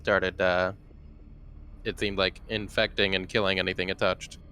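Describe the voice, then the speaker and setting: A man's voice: low-pitched, flat delivery, normal speed, pushed and bright, smooth. A man playing in a tabletop roleplaying game, talking casually with the group.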